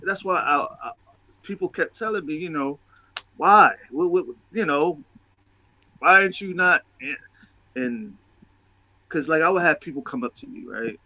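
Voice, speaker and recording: Man, higher-pitched voice, bright and punchy, emphatic motivational chat, repeats himself for stress, dull lo-fi sound. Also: animated, unhurried, long pauses, no echo. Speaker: show host and interviewer. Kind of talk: casual motivational talk.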